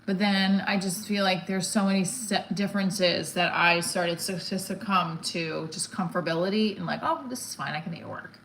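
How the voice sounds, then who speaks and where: A woman's voice: lowish voice, steady tone, moderate pace, hardly any pauses, a bit bright. A woman in her forties chatting casually on a livestream, blunt and candid, rambling and often breaking off mid-thought. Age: 40s. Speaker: stand-up comedian livestreamer. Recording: roomy echo, some background noise.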